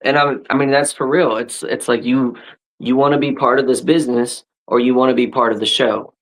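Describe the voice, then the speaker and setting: A man's voice: mid-range voice, fairly even intonation, fairly quick, low-quality recording. Laid-back man in his thirties, chatting. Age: 30s. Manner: laid-back, frequent restarts and "right?" tags. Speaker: podcast host and wrestler. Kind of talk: casual chat.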